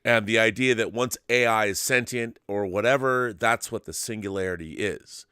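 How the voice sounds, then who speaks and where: A man's voice: on the low side, moderate pace, pushed and bright, smooth, dry recording, clean studio sound. A middle-aged man hosting a talk show, giving his opinions emphatically in casual discussion and often repeating words as he gets going.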